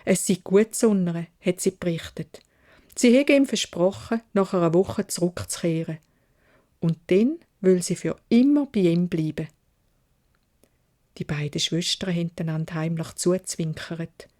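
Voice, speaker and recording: A woman's voice: on the low side, moderate pace, warm tone. A woman with a Swiss German accent narrating a fairy tale for children in dialect, as a storyteller.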